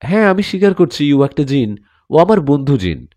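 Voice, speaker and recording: A man's voice: a little high, sing-song, normal speed, darkish tone, no room sound. Man acting an earnest, pleading young daughter. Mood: anxious pleading. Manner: pleading, protesting. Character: earnest young village daughter in love with a jinn.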